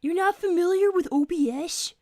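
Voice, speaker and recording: A woman's voice: very high-pitched, moderate pace, dark tone, no echo, studio quality. A woman playing a smug, precocious young cartoon fox boy co-hosting an in-character livestream with matter-of-fact, needling chat. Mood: flustered, bemused. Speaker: livestream co-host.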